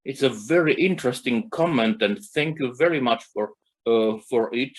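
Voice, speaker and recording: Halting man with a Romanian accent. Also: mid-range voice. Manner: halting, searching for words with many fillers. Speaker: co-host. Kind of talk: literary interview chat.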